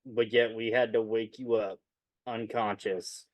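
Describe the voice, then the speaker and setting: A man's voice: fairly low pitch, little pitch movement, a little slow, no echo. A man playing a tabletop roleplaying game, bantering casually and speaking for his character.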